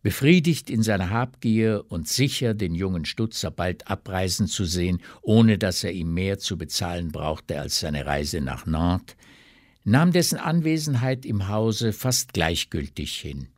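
A man's voice: deep voice, lively intonation, a little slow, no echo, studio quality. A man reading scripted literary narration as the narrator of a radio drama.

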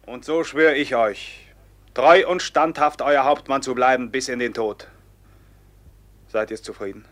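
A man's voice: mid-range voice, measured pace, fairly bright tone, lightly acted. An actor playing an anguished, betrayed young man turned grim robber captain, declaiming with passion in a classic German radio drama. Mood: solemn, fatalistic. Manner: declamatory, impassioned, bursting from whispers into cries.

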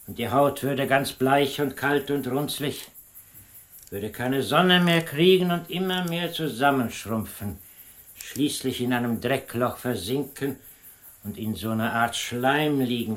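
An actor playing a musing, eerie village yarn-spinner in a radio drama, slow and suggestive. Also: male voice, mid-range voice, natural voice.

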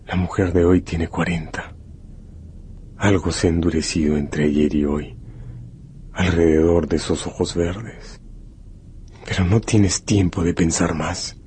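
An actor playing a grave, intimate male narrator who addresses the hero directly in a dramatic, deliberate radio-novel style. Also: low-pitched, fairly even intonation, a little mellow, slight background noise. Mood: grave, sensual.

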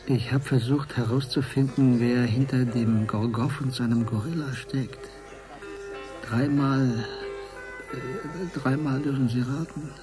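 A man acting a keen, halting investigator. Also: medium pitch, steady tone, over music, close to an everyday voice, low-quality recording. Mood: intent. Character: keen, scheming male investigator with a wild plan. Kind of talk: German radio drama acting.

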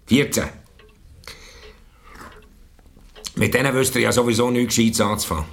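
Wealthy returned Swiss villager out for revenge: mid-pitched, unmoved, commanding, terse. Radio play. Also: male voice, fast talker, vocal fry. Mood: stubborn. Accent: Swiss German. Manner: curt, unmoved, gives flat orders. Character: rich, vengeful returned villager, cold, stubborn, commanding man. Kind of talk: German-language radio drama acting.

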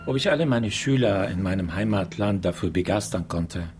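An actor playing a polite middle-aged refugee teacher from Syria in a naturalistic radio drama, speaking carefully and haltingly. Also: male voice, low voice, lively intonation, darkish tone, a little hiss or hum. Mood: wistful, polite. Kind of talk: naturalistic German radio crime drama acting.

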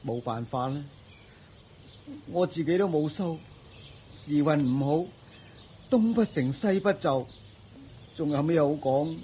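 A man's voice: on the high side, measured pace, pauses often, mellow, a little hiss or hum, natural voice. A man with a Hong Kong Cantonese accent playing a luckless, penniless nephew, humble, sighing and pleading, in a radio drama. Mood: dejected.

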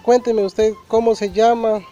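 A man's voice: high-pitched, flat delivery, unhurried, dark tone, lo-fi sound, faint music behind. A man from Colombia working as a community radio reporter, asking plain interview questions.

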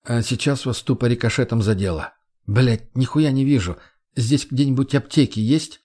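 A man playing a self-assured, curt gang leader in an audiobook, speaking in a dry, calm, crude way. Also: medium pitch, normal speed, lightly acted. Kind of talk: audiobook character voice.

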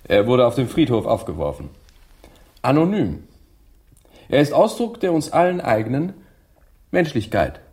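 Wry doctor, very animated delivery. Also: male voice, medium pitch, moderate pace, long pauses. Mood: wry, ceremonious. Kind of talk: radio drama acting.

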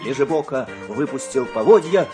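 A man narrating a children's fairy-tale radio play with dramatic, expressive storytelling delivery. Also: mid-range voice, moderate pace, over music.

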